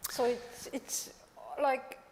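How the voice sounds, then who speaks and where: A woman from South Korea, a native Korean speaker, gives an informal design talk in animated, fragmented phrases. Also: extremely slow, noisy background. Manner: animated, fragmented, peppered with 'like'. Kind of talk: informal conference presentation. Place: large conference hall on stage. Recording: reverberant hall recording.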